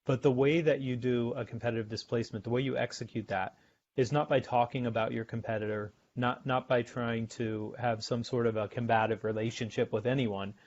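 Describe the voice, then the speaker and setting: A middle-aged American man, a sales author and podcast guest, explaining his ideas in a measured way and often repeating words as he thinks. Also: medium pitch, fairly even intonation, darkish tone. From United States. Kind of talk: podcast interview. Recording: dull lo-fi remote audio.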